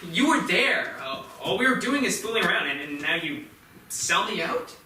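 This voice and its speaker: A man's voice: sits high, sing-song, moderate pace. A man in a staged reading playing a resentful, defiant teenage boy with a blunt, aggrieved way of talking. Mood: bitter, accusing. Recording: very echoey theatre, distant mic, faint noise.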